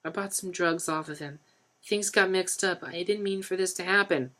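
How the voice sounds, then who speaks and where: A woman's voice: fairly low pitch, moderate pace, fairly bright tone, pitched above the performer's own voice, natural voice. A woman voicing a frightened young man who stammers and pleads tearfully. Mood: confessing.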